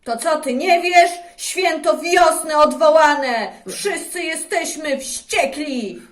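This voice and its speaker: A woman's voice: high voice, lively intonation, slow pace. A woman voicing an angry, rude townsperson who snaps indignantly. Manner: snappy, indignant, loud. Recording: echoey room.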